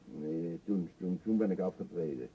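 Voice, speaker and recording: An actor in a radio play voicing a middle-aged male vagrant, self-pitying and confessional, with a husky, halting, theatrical delivery. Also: low-pitched, heavily acted. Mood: ashamed, defeated. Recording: dull lo-fi radio play recording.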